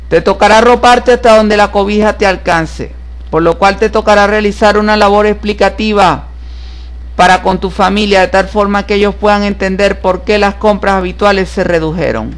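High-voiced man reading tarot horoscopes. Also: fairly even intonation, normal speed, faint music behind. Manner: solemn, repetitive, emphatic about being certified. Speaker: tarot reader and astrologer.

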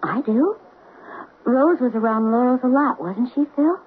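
A woman's voice: on the high side, lively intonation, unhurried, breathy edge. An actress playing a shrewd, suspicious middle-aged mother in a 1970s radio drama. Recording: phone-like 1970s radio broadcast.

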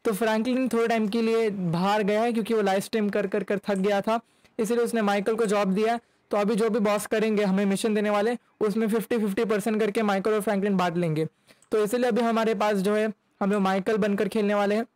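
A man's voice: high voice, a little mellow, dry recording, poor audio. A young man giving chatty, casual gameplay commentary, mixing in English words, close on a desk mic. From India. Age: young adult. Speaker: gaming YouTuber. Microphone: desk microphone close to mouth.

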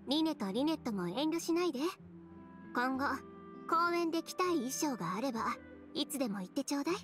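A blunt, self-assured young female fashion designer in an anime game. Her pitch sits on the high side, and her delivery is confident, dry and a little teasing. Soft music runs underneath. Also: lively intonation, a little fast. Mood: gracious, assured. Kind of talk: anime video game dialogue.

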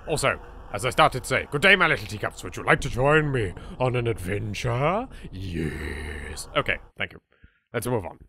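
A man with an English accent hosting a voiced game playthrough, chatty and quick to yelp. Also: medium pitch, big pitch swings, a little hiss or hum. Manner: chatty, bursts into loud laughter and yelps. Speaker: let's play host.

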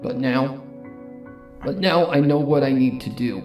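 A narrator reading a fan fiction story in the first person, with solemn, dramatic delivery and pauses. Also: medium pitch, darkish tone. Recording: music under the voice. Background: background music.

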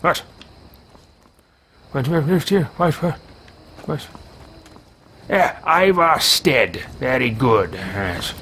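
A man voicing an arrogant wizard mercenary who talks to himself, in a pompous, theatrical, drawn-out manner while playing a game. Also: medium pitch, sing-song, gravelly, bright, forceful tone, slight background noise, full character voice. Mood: musing. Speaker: let's play roleplayer. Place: small room with bookshelves, indoors. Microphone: headset mic at a desk webcam.

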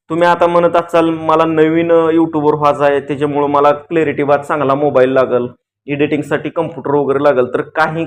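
A man with a rural Maharashtrian Marathi accent talks casually to his viewers as a YouTuber, giving earnest, colloquial advice and repeating phrases for emphasis. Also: a little high. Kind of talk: casual vlog talk addressed to viewers.

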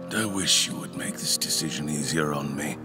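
A man playing a solemn, grandiose fantasy king in an audio drama, speaking formally and deliberately. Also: a bass voice, over music. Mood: solemn, weighty. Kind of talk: theatrical audio drama acting.

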